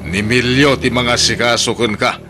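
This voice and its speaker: A man's voice: medium pitch, fairly even intonation, normal speed, noisy background, close to an everyday voice. A man playing a callous, scornful lover in an Ilocano radio drama, blunt and dismissive, with an Ilocano accent. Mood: cold, impatient. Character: callous, scornful lover tired of his sick partner.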